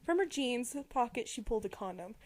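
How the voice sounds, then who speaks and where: A woman's voice: fairly high pitch, sing-song, a little fast, darkish tone, no echo. A young woman giving an amateur dramatic reading of a novel, prone to giggling and stumbling over words. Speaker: amateur reader.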